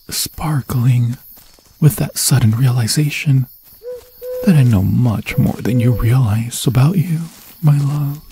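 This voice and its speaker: A man voicing an obsessive, lovesick forest demon in an ASMR fantasy roleplay, breathy and slipping into whispers, with a theatrical, sweetly taunting manner. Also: medium pitch, warm tone, a little hiss or hum. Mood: adoring, possessive.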